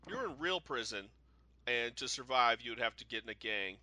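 A man's voice: medium pitch, lively intonation, fairly quick, pushed and bright, no echo. A man co-hosting a podcast in casual chat, rambling with lots of "like" and restarting his sentences. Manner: rambling, full of "like", trails off and restarts. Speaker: podcast co-host. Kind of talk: casual chat about a TV show.

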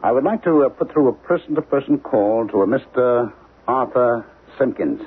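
A man's voice: mid-range voice, animated, normal speed, husky. An actor playing an agitated, insistent man convinced of alien invaders, in a 1970s radio drama. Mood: stubborn, preoccupied. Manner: insistent, intense, hesitant when distracted. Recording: noisy, phone-like 1970s radio broadcast.

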